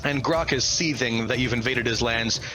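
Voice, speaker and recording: Male game master, mid-pitched, vivid descriptive storytelling, music playing behind him. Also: moderate pace, sharp tone, poor audio. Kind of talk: improvised tabletop game narration.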